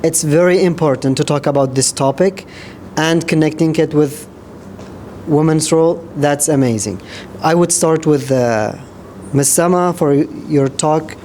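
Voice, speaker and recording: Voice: medium pitch, measured pace, warm tone, a little hiss or hum. A round-table participant giving formal, courteous comments to the panelists. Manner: polite, formal, addresses panelists directly. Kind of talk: panel discussion remarks.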